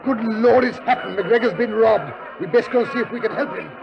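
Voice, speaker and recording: An actor playing a bluff, excitable older doctor who blusters, in a 1940s radio drama. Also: male voice, high-pitched, brisk, creaky, crowd noise. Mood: excited. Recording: dull old radio recording.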